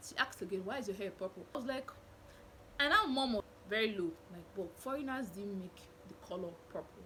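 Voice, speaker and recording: Young Nigerian woman, bright and expressive, vlogging from her bedroom. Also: mid-range voice, a little hiss or hum, poor audio. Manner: animated, expressive, indignant storytelling with hand gestures. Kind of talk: storytelling to camera. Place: small bedroom with curtain backdrop.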